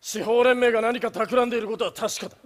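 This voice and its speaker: A man's voice: very high voice, steady tone, quick pace. A man on stage playing a stern, haughty young prosecutor with a formal, pompous way of speaking. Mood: stern, grave. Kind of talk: theatrical stage play acting. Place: theater stage, live performance.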